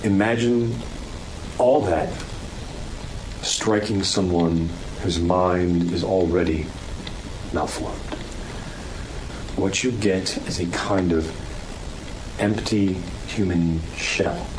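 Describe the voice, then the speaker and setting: An actor playing a grave man lecturing deliberately and didactically in short phrases in a horror audio drama, over background noise. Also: low-pitched. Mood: grave, instructive. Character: grave man explaining malevolent spirits like a lecturer.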